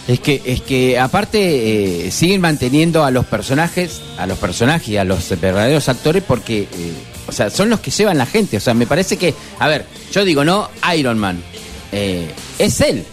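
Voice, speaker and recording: Lively Argentine man on radio. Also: mid-range voice, moderate pace, faint music behind. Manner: lively, chatty, many fillers and laughs. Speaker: radio co-host. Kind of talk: casual radio chat.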